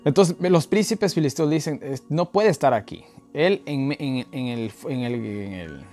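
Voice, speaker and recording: A man with an Ecuadorian Spanish accent leading an informal online Bible study in a colloquial, halting way full of filler words. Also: mid-range voice. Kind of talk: informal Bible study talk, verse-by-verse commentary. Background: background music.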